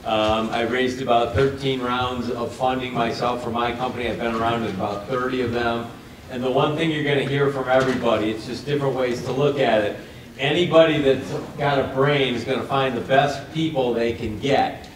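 Loud blunt man in his sixties, echoey hall. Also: medium pitch, fairly even intonation, moderate pace, recorded from a distance, a little hiss or hum. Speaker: panelist. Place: conference room.

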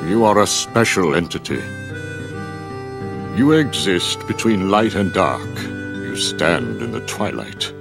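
Game mastermind: older, low and creaky, slow, cryptic, portentous with dramatic intonation. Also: male voice, very animated, over music, full character voice. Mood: solemn, cryptic. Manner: grave, portentous. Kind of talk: video game cutscene acting.

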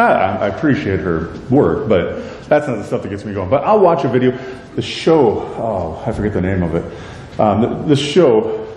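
A middle-aged man with a deep voice preaching a sermon in a church, conversational and self-deprecating, with many rhetorical questions. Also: a little mellow. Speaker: pastor. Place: church sanctuary with a stage. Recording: echoey church hall, light rumble.

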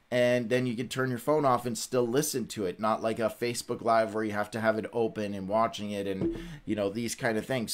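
A middle-aged man hosting a livestream in casual, rambling, informal chat. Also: medium pitch. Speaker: host of a Bible read-along livestream.

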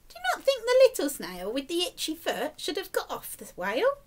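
A middle-aged woman reading a picture book aloud to young children, expressive and encouraging, often putting questions to them. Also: high-pitched, fairly quick. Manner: expressive, exaggerated, encouraging, speaking as to small children. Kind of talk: animated children's storytime reading with questions to the listeners. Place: small indoor room, plain wall.

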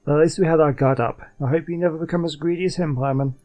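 A man's voice: a little high, steady tone, fast talker, dark tone. A man giving casual, offhand commentary as he plays a video game. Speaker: gaming channel host. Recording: game music behind the voice.

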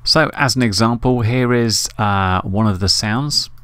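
A man's voice: fairly low pitch, unhurried, a bit bright, dry recording. A man hosting a casual screen-recorded tutorial, thinking aloud informally as he works. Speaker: tutorial host. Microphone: USB desktop microphone.

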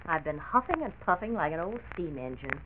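A woman's voice: deep voice, very animated, brisk. Modest American woman decorator, earnest and self-deprecating; thin, faintly hissy 1940s radio sound. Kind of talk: late 1940s radio drama acting. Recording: tinny, hissy 1940s radio transcription disc.

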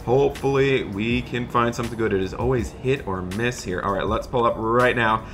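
Bright-voiced, enthusiastic man narrating roleplay. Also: mid-range voice, moderate pace, a little hiss or hum. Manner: enthusiastic, chatty, exclamatory. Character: excited friendly dad shopping for project cars. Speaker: host of a gaming roleplay channel. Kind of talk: improvised roleplay narration over gameplay.

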